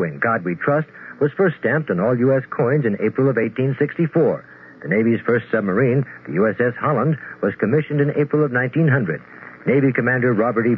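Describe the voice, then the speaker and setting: A male announcer reads a scripted 1970s radio spot in a mid-pitched voice. The sound is narrow and phone-like, with faint noise. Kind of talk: scripted 1970s radio public-service read.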